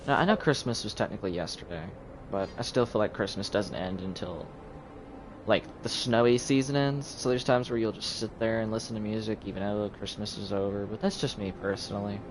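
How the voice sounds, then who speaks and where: A man's voice: fairly low pitch, steady tone, brisk, a little hiss or hum, rough recording. A man giving casual gameplay commentary on a livestream, muttering asides to the game and its characters as he plays. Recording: loud game music behind voice. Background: loud video game music.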